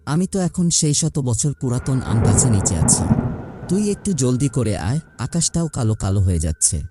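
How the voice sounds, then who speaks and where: A man's voice: medium pitch, sing-song, fairly quick, background noise, lightly acted. A man playing a worried older brother in a horror cartoon, with music and effects underneath. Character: anxious older brother stranded alone at night. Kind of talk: dubbed cartoon horror dialogue.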